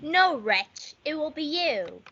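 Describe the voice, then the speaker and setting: A hot-tempered duelist played by a woman in a stage play. The voice is high, sharp and swooping, on a muffled recording. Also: sing-song, slow, heavily acted. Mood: furious. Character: Tybalt, hot-tempered, challenging male duelist. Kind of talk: student readers theatre performance.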